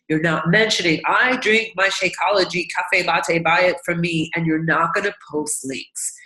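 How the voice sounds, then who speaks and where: A woman's voice: lowish voice, fairly bright tone, reverberant, rough recording. A woman with a congested, stuffy nose coaching new team members on a training call, upbeat and encouraging, often checking in with "right?" and "okay?". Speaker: business coach.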